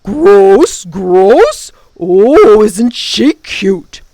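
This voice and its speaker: A woman voicing gleeful, rude swamp creatures from a children's storybook, taunting with drawn-out, mock-sweet exclamations. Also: medium pitch, big pitch swings, mellow, no echo, full character voice. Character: jeering, gleeful shaggy swamp monsters, rude and mocking.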